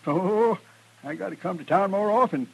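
An actor playing a gullible, excitable old rancher with folksy, rustic speech in an old-time radio western. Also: male voice, sits high, moderate pace, vocal fry, slightly rough, heavily acted, low-quality recording. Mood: gleeful. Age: older. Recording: vintage radio recording.

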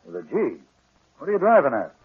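An actor playing a worried, weary, blunt police inspector in a 1940s radio drama. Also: male voice, a little high, huge pitch swings, fairly quick, heavily acted. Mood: puzzled. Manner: blunt, plain-spoken, exasperated. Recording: muffled, husky-sounding 1940s radio recording.

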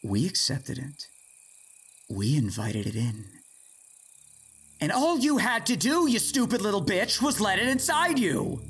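A woman's voice: mid-range voice, very animated, measured pace, long pauses, slightly rough, exaggerated voice. A woman playing a possessed, sneering, falsely sweet father who sold out to demons, in a horror-comedy audio drama. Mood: menacing. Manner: sneering, saccharine, theatrical.

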